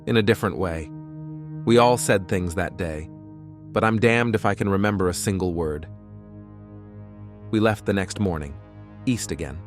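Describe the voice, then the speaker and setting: A man's voice: deep voice, normal speed, pauses often, faint music behind, lightly acted. A man playing a weary, bitter soldier who narrates an audio comic in a somber, terse manner. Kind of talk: scripted first-person narration in audio comic.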